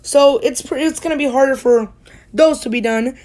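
High-voiced school-age youngster, rambling. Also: moderate pace, darkish tone. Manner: rambling, self-correcting. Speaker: hobby channel host. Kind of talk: casual vlog voice-over.

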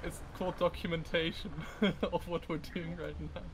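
A man's voice: on the high side, fairly even intonation, moderate pace, slight background noise. A man laughing and exclaiming in casual group voice chat while gaming. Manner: giggly, exclaiming. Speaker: gamer in voice chat.

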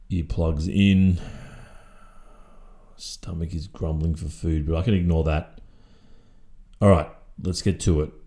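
A man's voice: low voice, mellow. A young man with an Australian accent playing a stressed, sleepy, sweet-natured student boyfriend in an audio roleplay, muttering drowsily to himself. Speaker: roleplay voice performer.

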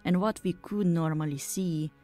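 Brief: a woman playing a stern insect matriarch, Russian accent, dark low voice, very slow and deliberate. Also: steady tone, faint music behind, lightly acted. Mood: grave. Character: stern commanding female insect-bodied matriarch.

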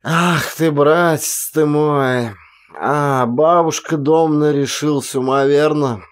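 A man voicing a boastful, scornful drunken ex-soldier in an audiobook, with hoarse, slurred, drawn-out speech. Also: fairly high pitch, steady tone, voice pushed up.

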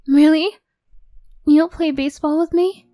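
A woman's voice: sits high, fairly even intonation, measured pace, mellow, no echo, studio quality, pitched above the performer's own voice. A woman playing an affectionate young girlfriend, a sweet but tough-edged former bully, in an ASMR roleplay. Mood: affectionate, bubbly.

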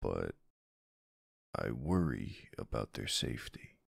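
A man playing a tough but soft-hearted mafia boss husband in an intimate ASMR roleplay, murmuring tenderly with a very deep, gravelly voice. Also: big pitch swings, slow pace, dry recording, full character voice. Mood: tender, uneasy.

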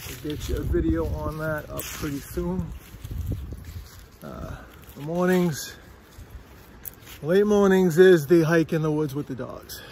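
A middle-aged man with a fairly high, gravelly voice, rambling casually as he walks a forest path. He is a little out of breath and keeps checking in with "all right?" There is faint wind noise on the phone recording. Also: slow, long pauses, dark tone. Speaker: vlogger. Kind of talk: casual walking vlog. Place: windy forest trail outdoors. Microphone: phone at arm's length.

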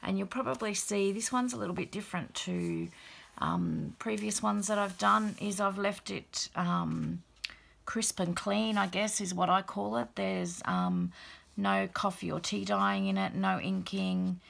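A middle-aged woman with a croaky, gravelly voice after the flu, casually showing a handmade journal at her craft table, relaxed and chatty with many fillers. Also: mid-range voice, unhurried. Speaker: craft channel host. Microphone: overhead camera, hands only.

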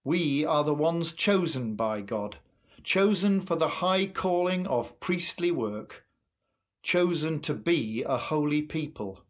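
An older man leading church worship and prayer in a solemn, measured manner. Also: on the high side, lively intonation. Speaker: worship leader. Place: indoor room at home, in front of curtains.

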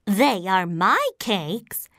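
Woman as a slow, sly old witch. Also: mid-range voice, very animated, background noise, full character voice. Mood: indignant. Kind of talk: slow, simple cartoon dialogue for English learners.

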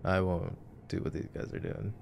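A man's voice: low-pitched, little pitch movement, normal speed, vocal fry, darkish tone, slight background noise. A man playing an easygoing, nerdy boyfriend in an ASMR sleep-aid roleplay, chatting casually and teasingly through a movie. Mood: playfully cocky. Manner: casual, teasing, playfully cocky.

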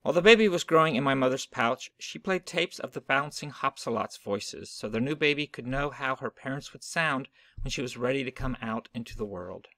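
Male storybook narrator, even and gentle, dry home recording. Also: mid-range voice, a little fast, dry recording. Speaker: reader of a children's book. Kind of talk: reading a picture book aloud.

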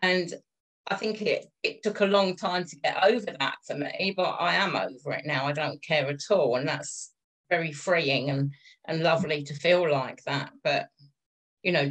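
A woman leading a group discussion over a video call, asking gentle, probing questions. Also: low voice, normal speed, poor audio. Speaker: discussion leader. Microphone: video call webcam.

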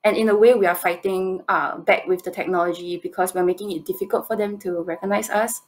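Young female contestant, Singaporean accent. Slightly low voice, hesitant, thinking through answers over a video call. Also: steady tone, a little fast, poor audio. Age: 20s. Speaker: competition presenter answering judges' questions. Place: indoor room, on a video call.

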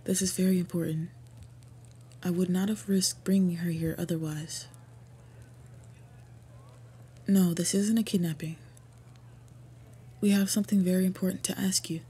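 Orc princess, female performer, fantasy audio drama: soft, dark-toned, very breathy voice, devoted and earnest, pausing often. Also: fairly low pitch. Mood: pleading, nervous. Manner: earnest, halting, tender delivery with pauses. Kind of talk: fantasy roleplay audio acting.

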